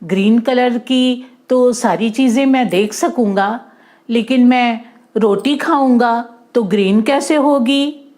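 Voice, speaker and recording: A woman's voice: fairly high pitch, takes it slowly, a little mellow. A woman voicing a rich, proud man in a children's story who has become a worried patient, anxious and questioning. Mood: baffled, protesting.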